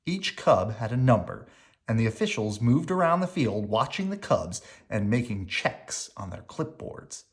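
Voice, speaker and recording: A reader narrating a children's picture book aloud in an expressive storytelling style. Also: medium pitch, moderate pace.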